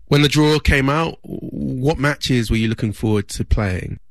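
A man works as a radio interviewer, putting questions to a guest. His pitch is average, and the recording is dry with little echo. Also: a little slow, vocal fry.

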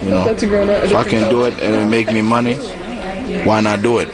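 Man in a loud crowd. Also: medium pitch, normal speed, vocal fry. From South Sudan. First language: Acholi. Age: 20s. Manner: relaxed, reflective, frequent "you know". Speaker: interviewee, cosmetology student. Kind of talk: casual interview talk. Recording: field recording with loud crowd chatter.

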